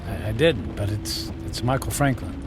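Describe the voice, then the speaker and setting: An actor playing a wealthy, weary, miserable man in a radio drama, speaking in a halting, guarded way. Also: on the low side, lively intonation, music in the background, lightly acted. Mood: guarded, irritated. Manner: hesitant, halting, sighing.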